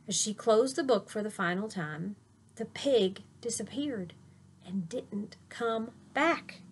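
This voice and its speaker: A woman's voice: medium pitch, slight background noise. A woman reading a children's picture book aloud like a teacher, with dramatic delivery and chatty asides to young listeners.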